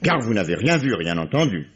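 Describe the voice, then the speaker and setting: An actor playing a shrewd police commissioner in a French radio mystery, dry, wry and quietly commanding. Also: male voice, fairly low pitch, big pitch swings, normal speed, slightly rough. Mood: pressing. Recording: dull vintage radio recording.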